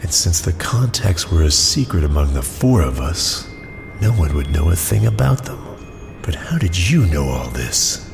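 A man's voice: deep voice, very animated, moderate pace, creaky, music in the background, heavily acted. A man playing an alarmed, bewildered businessman targeted as a victim in an audio drama, in long reverb. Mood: puzzled, grateful.

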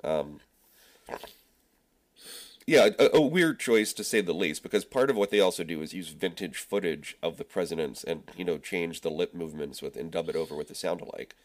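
A man with a cold, sounding husky and stuffed up, chatting casually about movies as a podcast co-host. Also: low voice, moderate pace. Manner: rambling, many fillers and restarts. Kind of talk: casual movie review chat.

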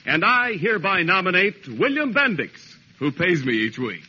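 Forceful, husky 1940s radio announcer man. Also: high voice, sing-song, normal speed. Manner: polished, emphatic, declamatory. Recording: dull old radio broadcast recording.

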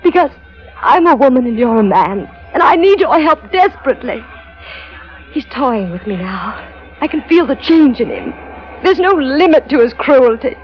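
An actress playing a desperate wife begging a captain for rescue in an old-time radio drama, with melodramatic delivery. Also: female voice, sits high, very animated, moderate pace. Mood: desperate pleading. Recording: muffled vintage radio broadcast with music.

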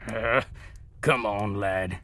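A man playing an anxious, obedient palace guard, deferential and hurried, in a fantasy audio drama. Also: lowish voice, a bit bright, slight background noise. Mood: nervous obedience.

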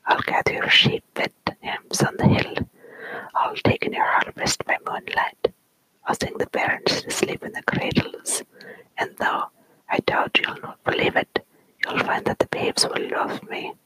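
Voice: unhurried, no echo, low-quality recording. A whisperer doing a humble little brownie. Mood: earnest offering. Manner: soft unvoiced whisper, old-fashioned speech. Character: strange hairy little male brownie, humble and helpful. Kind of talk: whispered storytelling.

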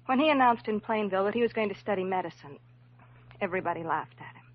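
An actress playing a worried, loyal young woman in a classic radio drama, with a husky voice and an earnest, emotional delivery. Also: medium pitch, expressive, fast, a little hiss or hum, natural voice. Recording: muffled old radio recording.

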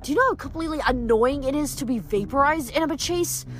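A woman's voice: fairly high pitch, animated, unhurried. Woman voicing a gloating, menacing female vampire demon. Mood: bitter menace. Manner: taunting, menacing, snappish.

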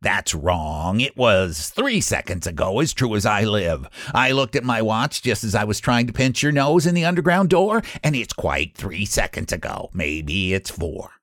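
A man's voice: lowish voice, big pitch swings, moderate pace, hardly any pauses, fairly bright tone, no echo, clean studio sound. A man voicing a cheeky little potato in a children's story, whining and pedantic. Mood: pleading. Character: whiny, cheeky little potato, pleading and squirming.

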